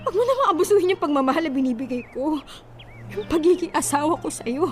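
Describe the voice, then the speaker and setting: A woman's voice: sits high, expressive, fast, a little hiss or hum. A woman acting a distressed, pleading wife who resists her husband in a radio drama. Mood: hurt, pleading. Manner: pleading, emotional protests.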